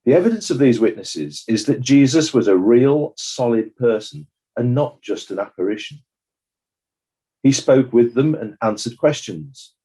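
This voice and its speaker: Male actor as judicious inquest coroner, husky, mellow, formal, deliberate, impartial, scripted courtroom drama. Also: mid-range voice, animated, poor audio. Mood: measured, judicious. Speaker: presiding judge of inquiry.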